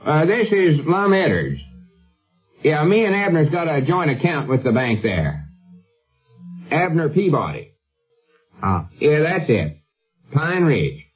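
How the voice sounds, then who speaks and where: Husky, folksy backwoods storekeeper. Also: male voice, fairly high pitch, very animated, takes it slowly, long pauses, faint music behind, exaggerated voice. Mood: hesitant, inquiring. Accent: rural Southern US. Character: folksy backwoods storekeeper, earnest, cautious, honest. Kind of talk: 1940s radio comedy acting. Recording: muffled old radio broadcast recording.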